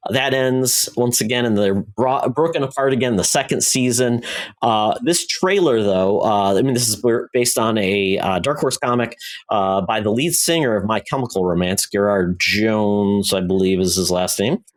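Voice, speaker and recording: A man co-hosting a podcast, chatting casually through entertainment news in a halting, loosely conversational way. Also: fairly low pitch. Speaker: podcast co-host.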